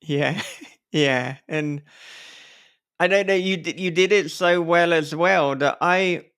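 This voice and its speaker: A man's voice: fairly high pitch, slow pace, vocal fry, fairly bright tone, clear, resonant tone. A man hosting a casual podcast conversation, talking effusively in long, wordy sentences with the odd casual swear word. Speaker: podcast host and author.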